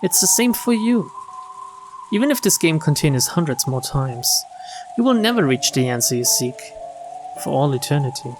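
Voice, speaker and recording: Man reading aloud over loud game music. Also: fairly high pitch, very animated, normal speed. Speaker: game streamer. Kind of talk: dramatic read-aloud of visual novel text.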